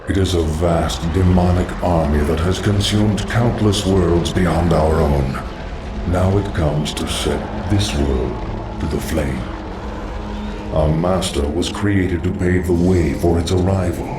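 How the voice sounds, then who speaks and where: An actor playing a scheming undead lich in a game cutscene, measured, formal and knowing. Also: male voice, sits low, background noise. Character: undead male lich, scheming and coldly patient. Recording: roomy echo, distant, over music.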